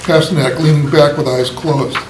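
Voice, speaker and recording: A man with a slightly high voice reads the stage directions in a plain, even way. The room gives a clear echo, and faint noise sits behind him. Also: moderate pace.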